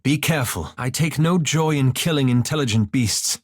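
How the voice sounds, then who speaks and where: A man's voice: mid-range voice, moderate pace, no room sound, studio quality, natural voice. An actor voicing a cautious, scholarly young male elf wizard in a video game, formal and dryly sardonic, with precise articulation. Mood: measured, wary. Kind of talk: scripted video game voice lines.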